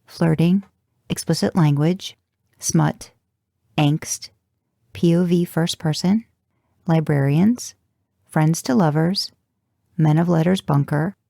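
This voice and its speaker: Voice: medium pitch, creaky, warm tone, no echo, clean studio sound. A narrator reading first-person fan fiction in an audiobook style, with a wry, intimate delivery. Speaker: fan fiction podcast reader.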